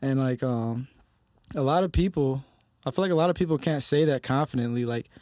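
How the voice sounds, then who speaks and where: A man's voice: medium pitch, a little fast, a little mellow, dry recording, clean studio sound. A young man from Detroit, a rapper guest on a podcast, talking casually with heated, emphatic swearing.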